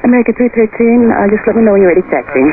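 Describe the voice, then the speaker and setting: A woman's voice: medium pitch, expressive, racing. A woman working as a tower air traffic controller, giving clipped instructions over aviation radio. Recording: tinny, narrow aviation radio.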